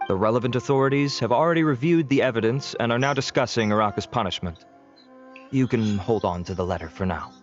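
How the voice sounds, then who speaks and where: A man's voice: medium pitch, fast talker, highs cut off, faint music behind, natural voice. A man playing a polite, dutiful investigating officer in a video game, speaking in a courteous, formal way. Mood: matter-of-fact.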